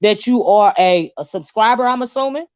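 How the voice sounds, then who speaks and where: A woman hosting a casual livestream with sassy, animated, teasing talk and frequent laughter. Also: mid-range voice, normal speed, no echo. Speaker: channel host.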